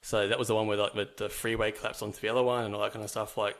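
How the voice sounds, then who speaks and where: A man's voice: fairly low pitch, little pitch movement, racing, fairly bright tone. Young kiwi-born guy rambling through his backstory on a podcast, lots of ums and likes. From New Zealand. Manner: rambling, with many fillers and restarts. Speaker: podcast guest. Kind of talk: casual storytelling chat.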